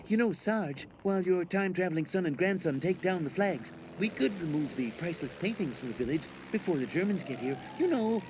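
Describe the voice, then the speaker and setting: A man voicing an obsequious, scheming, evil-sounding soldier in an animated comedy game. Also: high voice, fairly quick, hardly any pauses, background noise. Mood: sly.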